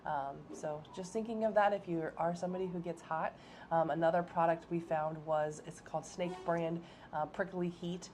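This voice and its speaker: Low-voiced stuffed-up woman vlogging. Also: fairly even intonation, normal speed, darkish tone, a little hiss or hum. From American Midwest. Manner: chatty, frequent ums and uhs. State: stuffed-up nose from a head cold. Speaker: travel vlog co-host. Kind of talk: casual travel vlog chat.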